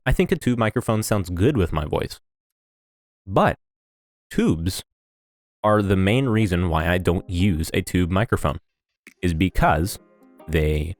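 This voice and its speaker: A man casually critiquing audio setups in a blunt, rambling way, often restarting and repeating himself. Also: deep voice. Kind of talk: casual opinion commentary.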